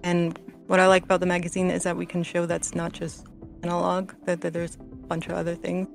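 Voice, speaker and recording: Woman with a creaky, lowish voice. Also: monotone, fairly quick. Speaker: podcast panelist. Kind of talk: casual chat. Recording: faint music underneath. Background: soft background music.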